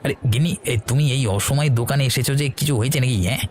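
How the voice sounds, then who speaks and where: A man's voice: medium pitch, very fast, a little hiss or hum, natural voice. A man playing a proud, irritable rich shopkeeper in a cartoon story, talking in grumbling exclamations. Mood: smug, surprised. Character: arrogant, irritable rich shopkeeper, a henpecked husband.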